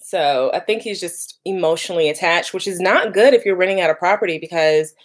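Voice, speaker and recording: A woman's voice: on the low side, brisk. A woman, chatting casually on a live stream and reading out viewer comments. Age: 30s. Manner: chatty, lots of "like" and "y'all", laughs easily. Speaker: vlogger doing a live stream.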